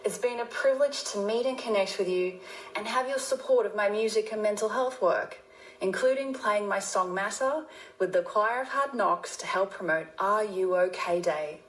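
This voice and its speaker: A woman's voice: medium pitch, normal speed. Australian woman in a roomy recording. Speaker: singer-songwriter. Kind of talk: prepared tribute message.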